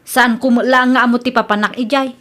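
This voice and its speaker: Woman acting stubborn younger cousin, high pitched, defensive excuse maker, ilocano accent, radio comedy drama. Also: fairly even intonation, fairly quick, fairly bright tone, natural voice. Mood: defensive, sulky.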